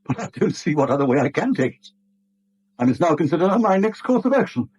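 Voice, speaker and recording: An older British bank manager in a seventies radio drama. His voice sits fairly high and swings in pitch, with a husky edge. He is curt, proud and full of wounded dignity. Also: big pitch swings, fairly quick, a little mellow, lo-fi sound. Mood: tense, offended. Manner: curt, indignant, self-pitying.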